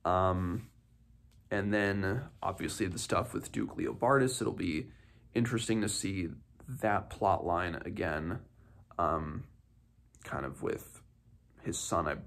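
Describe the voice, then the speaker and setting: A man in his twenties giving a casual, unscripted book discussion, halting and thinking aloud as he goes. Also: sits low, a bit bright. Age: 20s. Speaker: book reviewer, host of a read-along. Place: small home room, indoors.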